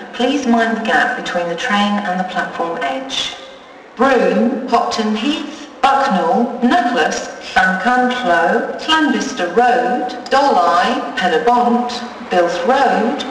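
A woman with a British accent making formal, measured station announcements, phrase by phrase. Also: mid-range voice, distant mic, slight background noise, low-quality recording. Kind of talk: recorded public announcements.

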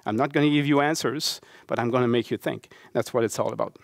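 A middle-aged French-Canadian man gives a talk in a lecture hall, his speech full of halting fillers and restarts. His voice is mid-pitched and recorded dry. He has a Québécois French accent, and English is his second language. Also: gravelly. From Quebec City, Canada. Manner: halting, many fillers and restarts, earnest and conversational. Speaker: conference speaker, baseball coach and professor. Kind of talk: conference talk with slides.

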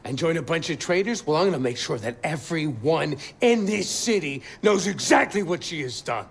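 Earnest, creaky higher-pitched man. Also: expressive, exaggerated voice. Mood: betrayed, resolved. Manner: earnest, halting, heartfelt. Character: earnest, wounded male resistance coordinator, middle-aged.

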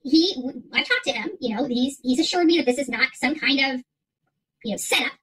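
A woman's voice: high voice, lively intonation, brisk, a bit bright, husky, rough recording. A middle-aged woman chatting casually to livestream viewers as host, emphatic, repeating herself and rambling. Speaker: livestream creator and channel host. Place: small furnished room at home, indoors.

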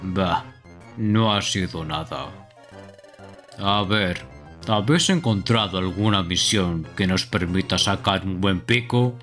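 A man plays a cocky skunk gang boss from an adventure game, bossy and scheming. The voice drops low, with game music playing behind it. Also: slow pace, a bit bright. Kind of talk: live amateur game dubbing.

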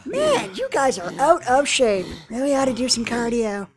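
A woman's voice: sits high, big pitch swings, moderate pace. A performer, heard as a woman, playing a cocky, sarcastic teenage swordsman boy in an anime parody dub. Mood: smug, menacing. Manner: sarcastic, smug, taunting.

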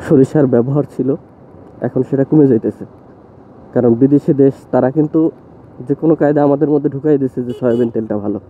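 A young man talks loosely and opinionatedly while riding a motorbike along village roads. His voice sits at an ordinary middle pitch. It comes through a thin, phone-like bike-mounted recording with faint traffic and wind noise behind. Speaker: motovlogger. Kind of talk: casual talk to camera while riding. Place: outdoors on a motorbike on rural roads.

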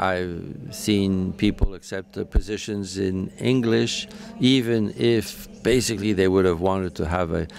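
A man giving live interpretation of a panel discussion, halting, with frequent fillers and self-corrections. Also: low-pitched, vocal fry, darkish tone. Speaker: simultaneous interpreter.

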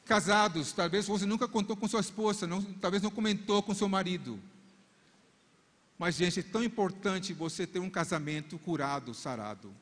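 A man's voice: high voice, moderate pace, fairly bright tone. A middle-aged man whose first language is English, speaking Portuguese on a large arena stage into a handheld mic, preaching and praying fervently at a church conference. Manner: fervent prayer repeating "Father" between phrases. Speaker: couple's coach. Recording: long reverb of a large hall.

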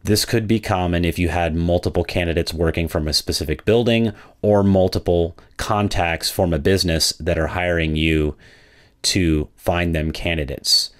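A man with a low-pitched voice narrates a software product demonstration. He explains each step methodically, in the manner of a presenter guiding viewers through a tool. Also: steady tone, normal speed.

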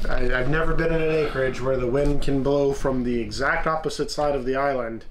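A man's voice: medium pitch, steady tone, normal speed. Tired man in an echoey boat cabin. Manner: exasperated and tired, blunt. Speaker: host, boat owner. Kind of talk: casual vlog chat. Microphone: handheld camera mic.

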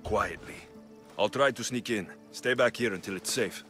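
An actor playing a wry, loyal warrior ally with a dry, teasing manner in a dramatic video game. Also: male voice, fairly low pitch, normal speed, fairly bright tone, faint music behind, lightly acted. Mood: firm, cautious.

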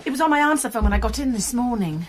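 An actress playing a kind, probing college nurse who turns amateur sleuth in a radio crime drama, speaking gently and often in a hush. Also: female voice, a little high, expressive, very fast, slight background noise, lightly acted. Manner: gentle, questioning, often hushed.

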